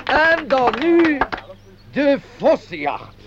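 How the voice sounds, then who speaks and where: A man's voice: very high voice, very animated, slow, very noisy, heavily acted. An actor playing a fussy, obsequious male company administrator who speaks formally and pompously in a radio play. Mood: pompous, ceremonious. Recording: dull lo-fi radio play recording.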